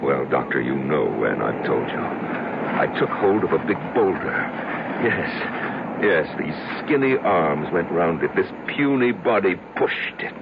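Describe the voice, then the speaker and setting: An actor playing a bitter, obsessed recluse confessing in a brooding, halting, dramatic way in an old-time radio drama. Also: male voice, extremely low pitch, very animated, vocal fry, heavy background noise, full character voice. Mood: seething hatred. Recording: dull old radio broadcast, loud music behind.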